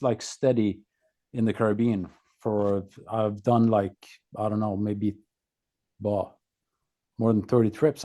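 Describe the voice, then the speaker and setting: A man from Norway with a Norwegian accent, a podcast guest telling his own story casually in a halting, laconic way. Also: fairly low pitch, dark tone.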